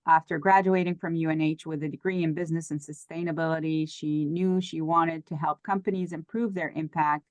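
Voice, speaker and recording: A woman acts as event host, introducing speakers in a semi-formal way. Her voice sits a little low and sounds dry, with no room around it. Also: dry recording.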